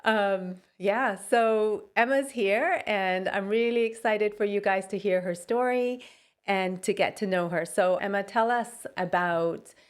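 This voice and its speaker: A woman's voice: mid-range voice, unhurried. A woman in her forties who grew up in Pakistan, hosting a podcast interview, friendly, laughing easily and murmuring encouragement. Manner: friendly, laughs often, quiet encouraging mm-hmms. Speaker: podcast host. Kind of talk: casual interview chat.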